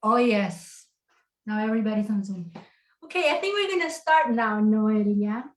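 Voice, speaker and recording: A woman's voice: medium pitch, lively intonation, normal speed, roomy sound. A middle-aged woman hosting a casual live-stream interview, affirming and encouraging her guest as she talks. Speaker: host. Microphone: video call.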